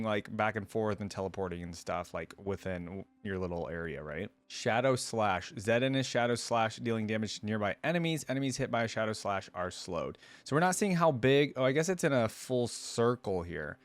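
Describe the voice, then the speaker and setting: Low-voiced man, casual reaction host. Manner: informal, thinking aloud with frequent questions. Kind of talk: casual reaction commentary, reading aloud and riffing.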